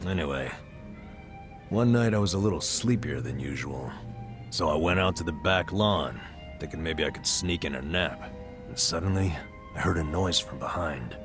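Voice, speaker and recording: Burned-out middle-aged ex-nurse, extremely low voice, American accent, wry and self-deprecating, game dialogue over prominent music. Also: male voice, normal speed. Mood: reflective, low-key. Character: burned-out, self-deprecating former male nurse confiding a past. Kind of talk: video game dub, confessional storytelling. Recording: music behind the voice.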